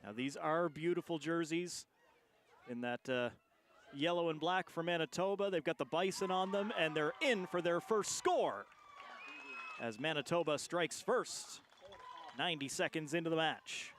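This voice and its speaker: Higher-pitched male rugby play-by-play commentator. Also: normal speed, fairly bright tone, slight background noise. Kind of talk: live sports commentary.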